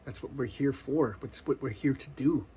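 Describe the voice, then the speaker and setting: A man's voice: mid-range voice, normal speed, warm tone, slight background noise. A man in his forties giving a loose, earnest spiritual monologue, casual and full of slang. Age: 40s. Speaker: spiritual vlogger.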